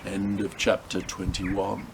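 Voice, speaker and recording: A man reading an adventure story aloud in an expressive narrating style. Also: deep voice, slow pace, heavy background noise.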